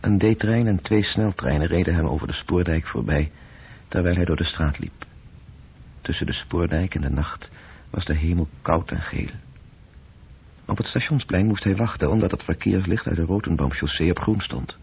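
A man narrating a literary radio drama in a measured, descriptive way, with a deep, gravelly voice. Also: full character voice. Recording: muffled narrow-band radio play recording.

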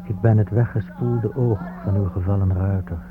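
A man's voice: fairly low pitch, fairly even intonation, normal speed, lightly acted. A man playing a nameless spirit horse in a radio play, speaking in a solemn incantation. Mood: solemn, haunting. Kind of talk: poetic radio play monologue. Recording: muffled radio play under loud guitar music.

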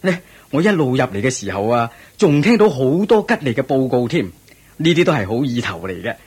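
A man playing a proud, hearty king in a radio drama, exclamatory and expressive. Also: medium pitch, a little fast, slightly rough. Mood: delighted. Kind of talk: Cantonese radio drama acting.

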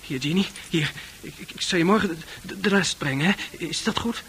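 A man's voice: high voice, brisk, fairly bright tone. An actor in a Dutch radio play playing a naive, apologetic young man who stammers and pleads. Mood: apologetic, pleading. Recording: hissy old radio play recording.

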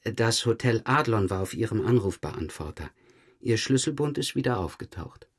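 An actor playing a dogged police detective questioning a suspect in a German crime audio drama, matter-of-fact and dryly pointed. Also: male voice, fairly low pitch, lively intonation, normal speed, breathy edge. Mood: controlled, pointed. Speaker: police investigator.

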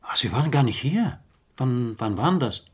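An actor playing a gruff, sardonic police inspector in a crime radio play, questioning in a dry, brusque way. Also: male voice, mid-range voice, animated, normal speed, no echo. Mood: dry, probing. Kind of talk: German crime radio drama acting.

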